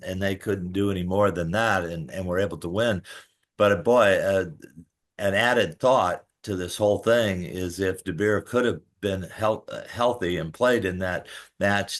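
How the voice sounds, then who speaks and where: A man with a low, deep voice gives sports analysis on a podcast. He talks in a measured, explanatory way, pausing as he works through each point.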